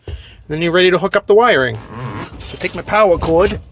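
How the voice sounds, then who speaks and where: A man's voice: high-pitched, brisk. A man, a trucker hosting a casual, plain-spoken step-by-step demonstration. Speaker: trucker and vlog host. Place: inside a truck cab. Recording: noisy truck cab with clicks.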